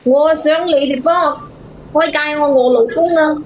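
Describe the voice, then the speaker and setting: A woman with a Hong Kong Cantonese accent calling an advice show, speaking in a hesitant, halting way. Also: high voice, a little hiss or hum, low-quality recording. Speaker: caller seeking advice. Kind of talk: unscripted phone-in chat.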